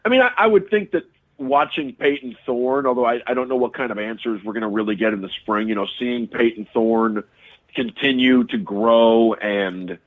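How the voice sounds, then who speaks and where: A man's voice: medium pitch, moderate pace. A man talking casually as a sports radio analyst, rambling with many restarts and fillers. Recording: tinny phone-line audio.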